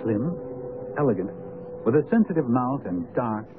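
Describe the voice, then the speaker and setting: An actor playing an earnest, courteous English doctor in a radio mystery. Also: male voice, mid-range voice, lively intonation, moderate pace, close to an everyday voice. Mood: narrating. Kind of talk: radio drama acting and narration. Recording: narrow-band old radio broadcast, music behind. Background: music.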